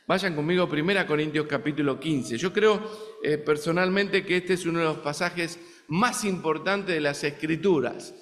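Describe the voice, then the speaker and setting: An Argentine man with a fairly high voice preaching to a congregation, talking conversationally and checking in with his listeners through rhetorical questions. His voice carries a long reverb from the church hall around him. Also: normal speed, a bit bright. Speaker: guest preacher. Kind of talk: sermon. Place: church sanctuary in the United States.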